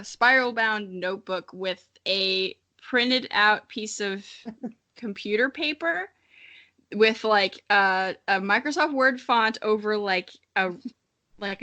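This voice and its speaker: A woman's voice: mid-range voice, bright, forceful tone. A woman in her early twenties, an informal, giggly podcast co-host who chats casually and reads aloud. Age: early 20s. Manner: giggly, informal, often breaking into laughter. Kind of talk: casual chat and dramatic reading of childhood writing.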